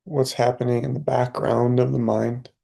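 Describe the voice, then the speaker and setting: A man leading a guided meditation, speaking calmly in short phrases with long pauses between them. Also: mid-range voice, creaky. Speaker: meditation teacher.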